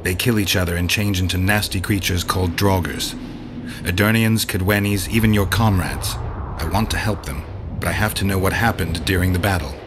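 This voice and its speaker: A stoic monster hunter from a fantasy game, voiced by a male actor, with a very low voice. He talks bluntly and deadpan, all business. Clear noise runs behind him. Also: normal speed, natural voice. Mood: stoic, serious. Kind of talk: dramatic video game acting.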